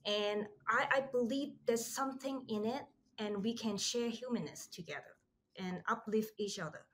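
A middle-aged woman from Hong Kong, a podcast guest telling her story in an earnest, reflective way with animated asides. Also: a little high, normal speed, slight background noise. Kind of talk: conversational storytelling.

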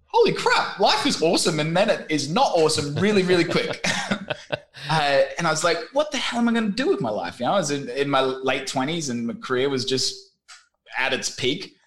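Australian man, thirties, guest on a casual podcast, lively storyteller who slips into quick impressions. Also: a little high. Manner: animated storyteller, acts out voices in anecdotes.

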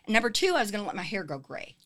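A woman in her seventies, a guest telling her life story in a lively, upbeat casual chat. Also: mid-range voice, rapid-fire. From Kansas City area, US. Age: 70s.

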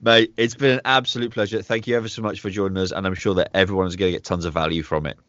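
Matey podcast host, dry sound. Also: male voice, low-pitched, fairly even intonation, quick pace, fairly bright tone, no echo, highs cut off. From Manchester. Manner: friendly, says "mate" a lot. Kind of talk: casual interview chat.

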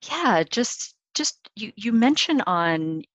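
A woman hosting a podcast interview, gently asking questions and often giving soft, affirming agreement. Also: mid-range voice, sing-song, slow, no room sound. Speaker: podcast host.

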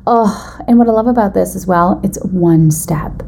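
A woman hosting a podcast in a casual, enthusiastic and expressive conversational style. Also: medium pitch, moderate pace, creaky, slight background noise. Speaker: podcast host. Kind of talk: casual chat and conversational sponsor read.

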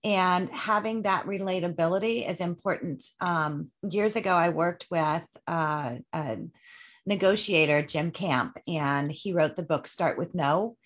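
A woman's voice: fairly low pitch, a little slow. A woman hosts a chatty interview, steering with questions and affirming her guest. Her delivery is conversational and supportive. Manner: encouraging, asks leading questions. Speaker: podcast host. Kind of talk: casual interview talk.

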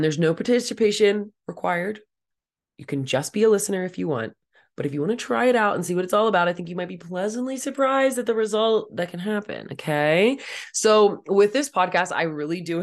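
Raspy, blunt woman podcast host. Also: animated, brisk. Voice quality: raspy, deep-ish voice. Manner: blunt, direct, swears casually. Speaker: podcast host and life coach. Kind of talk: casual solo podcast monologue.

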